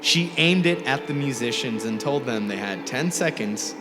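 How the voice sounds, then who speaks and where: A man reading a short story aloud in a deliberate, theatrical style, drawing out some words. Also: medium pitch, animated, poor audio. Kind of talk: dramatic scripted story narration. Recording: music score under the voice. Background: music score.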